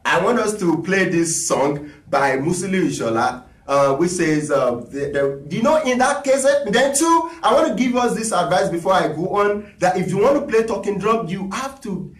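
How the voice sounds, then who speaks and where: A man's voice: sits high, expressive, moderate pace, recorded from a distance. A man, teaching talking drum on a live stream in a chatty, encouraging way. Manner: chatty, encouraging, reads viewer requests aloud. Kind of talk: live-streamed music lesson. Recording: echoey room, voice from off the mic.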